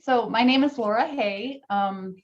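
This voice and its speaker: A woman in her fifties from the United States, an occupational therapist, introducing herself warmly in a video meeting with light laughs. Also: a little high, measured pace, slight background noise. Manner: friendly, laughs between phrases. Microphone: video call. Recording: dull lo-fi video call audio.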